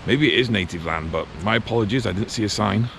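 A man's voice: on the low side, quick pace, vocal fry, noisy background. A middle-aged male vlogger giving casual, musing commentary while walking outdoors with a handheld camera. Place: outdoors on a park road and path. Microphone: handheld action camera at arm's length.

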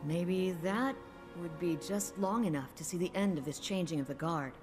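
Woman playing a low-voiced, seasoned woman explorer. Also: over music, close to an everyday voice. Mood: wistful, contemplative. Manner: measured, musing, assured. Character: seasoned, wry older woman field researcher, mentor. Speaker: game voice actor. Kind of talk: dramatic video game cutscene acting.

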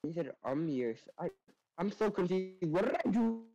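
A man's voice: high-pitched, expressive, normal speed, gravelly, warm tone, dry recording, muffled recording, soft background music. A man on voice chat teasing a friend in a dry, sarcastic way. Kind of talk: casual gaming chat.

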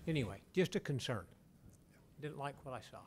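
A board member, a man with a mid-pitched voice, speaks in a plain, direct way. A faint room hum sits behind him. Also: animated, a little fast, darkish tone. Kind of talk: asking questions at a public meeting.

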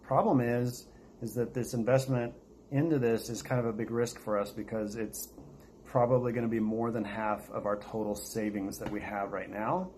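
A man's voice: lowish voice, moderate pace. An American man chatting casually to viewers as the host of a vlog. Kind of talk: casual chat to camera. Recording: steady noticeable background noise.

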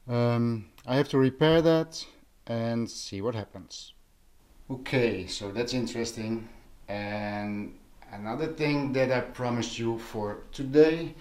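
A man talking casually as a vlog host, explaining things in a musing, unhurried manner while filming indoors. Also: mid-range voice, darkish tone. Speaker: audio vlogger, host. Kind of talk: casual vlog talk over handheld footage. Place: living room with carpet and couch, indoors.

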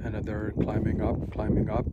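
A man filming his mountain hike, describing the route in halting casual speech with many fillers. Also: lowish voice, monotone. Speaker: hiker filming his climb. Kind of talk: casual narration while hiking. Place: outdoors on a windy mountain. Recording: dull lo-fi sound with loud wind noise.

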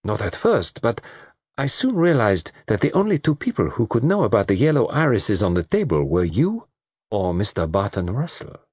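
A man putting on a fussy, courtly older detective, speaking with precise gentleness. The voice rises above the reader's own and swings through a lively range, with a husky edge in a dry, close sound. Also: fairly low pitch, moderate pace, dry recording, pitched above the performer's own voice. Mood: reasoning. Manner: courtly, precise, softly coaxing. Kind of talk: audiobook character reading.

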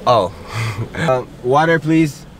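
A young American man vlogging pranks in casual, slangy, excitable chat with his friends. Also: mid-range voice, slow, a crowd in the background. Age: young adult. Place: inside a car and outdoors by a mall. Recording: noisy car and street ambience.

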